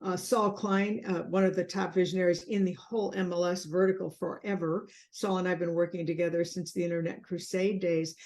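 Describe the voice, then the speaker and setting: A woman hosting an interview, gently leading her guest and complimenting him. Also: on the low side, flat delivery, a little fast, few pauses. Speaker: interview host. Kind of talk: video interview.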